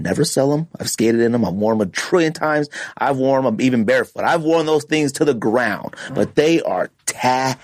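A man hosts a casual podcast countdown with very lively, swooping intonation. He is blunt and opinionated, leaning on slang and punching key words. The sound is dry, with little room in it. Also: a little high, dry recording. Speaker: podcast host. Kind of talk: casual sneaker countdown chat.

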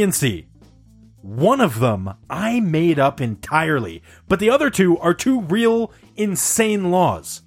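A man with a fairly high voice that swings widely up and down as he hosts a loose quiz among friends. He laughs often and keeps the banter playful and animated. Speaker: quiz host. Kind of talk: casual podcast banter.

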